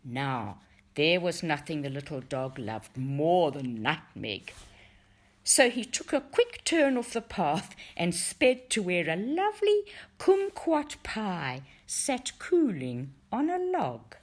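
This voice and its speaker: Female storybook narrator, low register, deliberate pace, very varied intonation for a folktale. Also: very animated. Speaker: reader of a folktale for a book study group.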